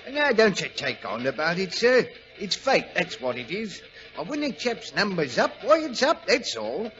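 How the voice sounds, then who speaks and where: Sniffly old-radio train guard, working class english, rambly and folksy, bright voice, music in the muffled recording. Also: male voice, sits high, wildly swooping pitch, normal speed, heavily acted. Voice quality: sneezy, stuffed-up with a cold. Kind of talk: 1940s radio acting.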